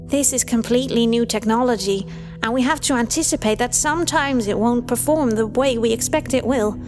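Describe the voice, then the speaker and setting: A professional female scientist voiced by a woman speaks politely and fairly high. Her measured words ring in long reverb, with music clearly behind, as in a horror audio drama. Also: close to an everyday voice. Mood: reassuring. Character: composed professional female scientist running an experiment.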